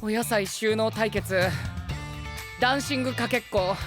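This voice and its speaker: A man playing an exhausted, dazed, gentle young character in a Japanese anime-style voice drama. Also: high voice, fairly even intonation, unhurried, music in the background.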